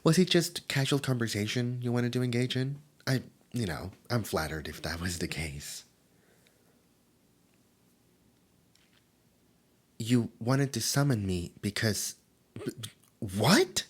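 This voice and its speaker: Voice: medium pitch, animated, moderate pace, breathy edge. A performer voicing a nerdy, easily flustered immortal demon in an ASMR roleplay, with a stammering, theatrical delivery. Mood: awkward, friendly, then indignant, outraged. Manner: stammering, theatrical, swinging from soft musing to panicked outbursts. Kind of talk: roleplay ASMR character acting.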